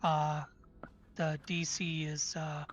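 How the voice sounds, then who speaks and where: A hoarse, tired-voiced player at a tabletop game. The speech is slow and halting, broken up by fillers. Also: medium pitch, completely flat delivery, noisy background.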